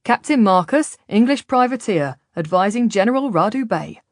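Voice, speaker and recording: A woman's voice: mid-range voice, lively intonation, moderate pace, dry recording, clean studio sound, voice pushed up, natural voice. Woman doing a brash, cheerful mercenary captain. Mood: proud. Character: cheerful, boastful English privateer mercenary, a man.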